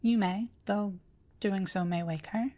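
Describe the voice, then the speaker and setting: A woman playing an ancient, courteous automaton librarian in a scripted audio roleplay, speaking formally with precise, measured diction. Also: medium pitch, warm tone, natural voice. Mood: calm, instructive. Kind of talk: scripted roleplay audio drama addressed to listener.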